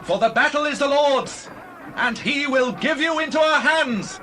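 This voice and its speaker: A bold young shepherd boy, acted by a man, speaks in a high, earnest, declamatory voice. He sounds devout and fearless, as in a scripture drama, with some noise behind him. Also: measured pace, bright, forceful tone, heavily acted. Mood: defiant.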